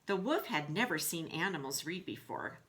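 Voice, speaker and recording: A woman with a bright, fairly low voice reads a children's storybook aloud as a school librarian. Her manner is reassuring and explanatory, pitched at young pupils. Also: sing-song, moderate pace.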